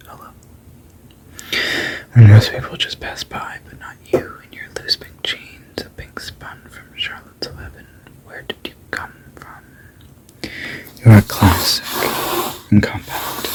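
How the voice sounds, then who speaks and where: A person reading fiction aloud entirely in a soft, unvoiced whisper, at a steady, low-key pace. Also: rough recording.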